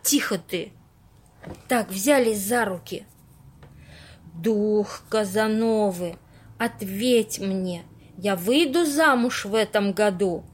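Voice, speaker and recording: A woman's voice: mid-range voice, slow pace, long pauses, breathy edge. A woman acting a timid, superstitious young woman in an amateur audio play, plaintive and easily frightened. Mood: solemn, plaintive.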